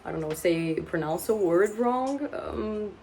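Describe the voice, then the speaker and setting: A woman's voice: lowish voice, expressive, a little slow, warm tone, faint music behind. Awkward, rambling young woman. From Portugal. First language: Portuguese. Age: 20s. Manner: awkward, rambling, laughs often. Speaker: book vlogger. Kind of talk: casual chat to camera. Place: home with pets around.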